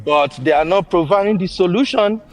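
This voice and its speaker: Brief: Nigerian man, notably high voice, emphatic list-maker in casual talk. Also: fairly quick, soft background music. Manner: emphatic, lists points, laughs easily. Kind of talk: casual podcast chat.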